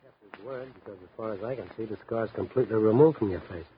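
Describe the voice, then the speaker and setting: An old, muffled radio recording of a hard-boiled night reporter. He talks fast and clipped, with wry, world-weary steadiness. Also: fairly low pitch, breathy edge. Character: steady, world-weary male big-city night-beat newspaper reporter. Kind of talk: 1950s radio drama acting and narration.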